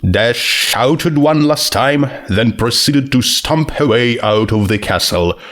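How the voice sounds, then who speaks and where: A man's voice: medium pitch, lively intonation, takes it slowly, a bit bright. A man narrating a written comic story as a scripted audiobook-style reading. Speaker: narrator of fan fiction.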